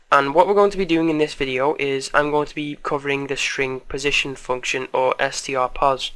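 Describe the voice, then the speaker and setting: Bright-voiced man, flat delivery, slightly croaky. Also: mid-range voice, no room sound. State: recovering from a cold, slightly croaky. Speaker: tutorial presenter. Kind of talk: casual screencast programming tutorial.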